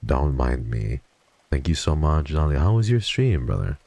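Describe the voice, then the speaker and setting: A man streaming a casual chat with viewers, swearing freely and jumping from low mumbles to excited high yelps. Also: moderate pace, no room sound.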